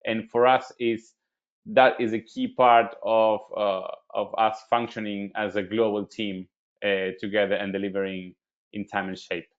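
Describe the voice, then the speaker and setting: A man's voice: lowish voice, little pitch movement, unhurried, highs cut off. A man from Argentina, a creative studio leader introducing himself in a friendly, hesitant way with many uhs.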